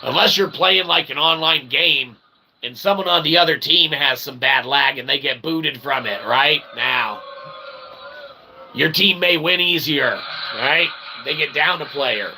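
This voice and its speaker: Male live stream host, fairly high gravelly voice, wordy mock-formal chat, noticeable background noise. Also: bright, forceful tone, low-quality recording. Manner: wordy, pseudo-formal phrasing with frequent "okay?" tags. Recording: clear background noise, room ambience.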